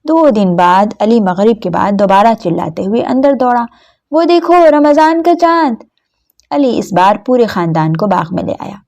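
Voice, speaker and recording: A woman's voice: medium pitch, expressive, vocal fry, few pauses, warm tone. A woman storyteller reading scripted narration of a story for children.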